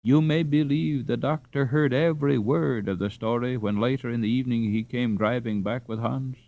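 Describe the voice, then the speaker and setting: A man reading a storybook aloud as an audiobook narrator, with expressive scripted delivery. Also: medium pitch, moderate pace, vocal fry, no room sound. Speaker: audiobook reader.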